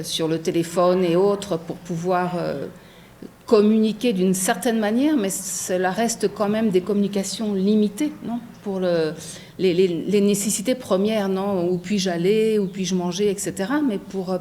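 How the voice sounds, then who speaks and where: A middle-aged woman from France, a translator, answering interview questions thoughtfully with many pauses and a habit of asking "non?". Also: mid-range voice, dark tone, a little hiss or hum. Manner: thoughtful, many fillers, frequent tag "non?".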